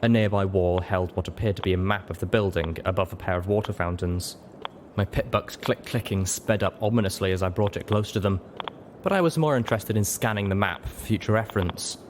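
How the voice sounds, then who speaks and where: Low-pitched man reading a dramatic story. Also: a little fast, slight background noise. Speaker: narrator and reader. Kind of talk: dramatic audiobook narration.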